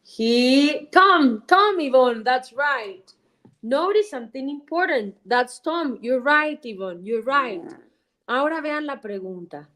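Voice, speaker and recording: A woman's voice: high-pitched, lively intonation, slow, a bit bright, low-quality recording. A woman, a Spanish speaker teaching an English class live online. She is upbeat, switches between Spanish and English, repeats phrases and praises students by name.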